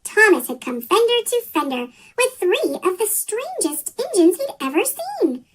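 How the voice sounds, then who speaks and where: Very high, lively chipmunk-voiced storybook reader. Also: unhurried, a little hiss or hum. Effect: sped-up chipmunk pitch shift. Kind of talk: scripted storybook read-aloud.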